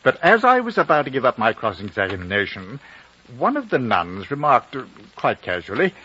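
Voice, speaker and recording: A well-spoken gentleman in a vintage radio drama, telling his tale with measured reserve. His male voice swings expressively in pitch and has a husky grain, heard through a dull old broadcast recording. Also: huge pitch swings, heavily acted. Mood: musing. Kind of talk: 1950s radio drama acting.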